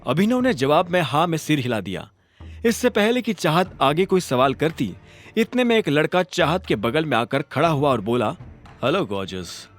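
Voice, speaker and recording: Man, audio story narrator, dramatic scripted delivery, very varied pitch, music bed. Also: big pitch swings, normal speed.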